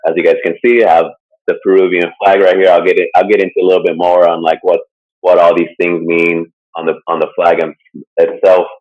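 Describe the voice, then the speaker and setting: A man's voice: sits low, little pitch movement, brisk. A man co-hosting a casual podcast, talking through a topic in a loose, rambling way full of fillers. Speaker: podcast co-host presenting a topic. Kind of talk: casual chat. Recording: dull lo-fi sound.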